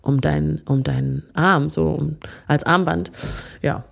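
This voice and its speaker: Brief: woman from Rwanda, podcast co-host, relaxed storytelling, low-ish voice. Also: slow pace, vocal fry. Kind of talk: relaxed bedtime chat.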